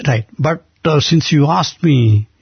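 Older man, Indian accent, earnest halting storyteller; husky voice, dull lo-fi recording. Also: on the high side, no echo. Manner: earnest, halting, many restarts. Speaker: guest. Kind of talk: conversational storytelling. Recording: dull muffled lo-fi sound.

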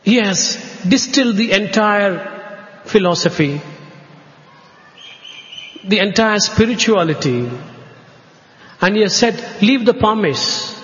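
Indian man giving a slow devotional talk, high voice, echoey hall, kinda muffled. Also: expressive, a bit bright. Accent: Indian English. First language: Telugu. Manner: slow, measured, drawn-out phrases. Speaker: speaker at a spiritual gathering. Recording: echoey hall, dull lo-fi sound.

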